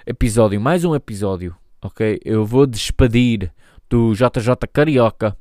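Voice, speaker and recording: A man's voice: medium pitch, a little mellow, no room sound, clean studio sound. A man hosting a solo podcast, speaking hesitantly, often restarting and searching for words, as English is his more comfortable language. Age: 30s. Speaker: podcast host.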